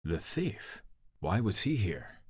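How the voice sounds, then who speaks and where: A stern samurai prince in a game cutscene. The voice is deep and gritty, recorded close and dry. He gives orders curtly, with brooding authority. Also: very animated, takes it slowly, husky, no room sound, heavily acted. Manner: curt, commanding. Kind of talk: video game cutscene acting.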